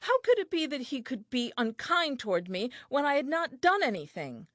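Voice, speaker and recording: A woman's voice: sits high, big pitch swings, moderate pace, a bit bright, no echo, studio quality. An actress playing an earnest, naive and tender first woman, telling her diary in an audio play with wide-eyed expression. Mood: hurt, sorrowful.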